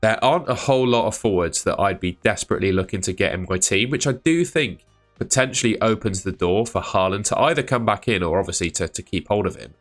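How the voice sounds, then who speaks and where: A man's voice: fairly low pitch, lively intonation, fast, faint music behind. A man with a cold, hosting a fantasy football video in a chatty, thinking-aloud way. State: has a cold. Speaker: fantasy football channel host. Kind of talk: casual solo video commentary.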